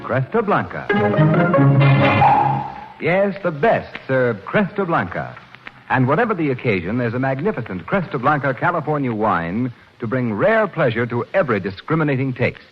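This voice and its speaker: Male 1940s ad announcer: polished, stately, very animated delivery, muffled radio recording with faint music. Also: medium pitch, normal speed. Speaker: commercial announcer.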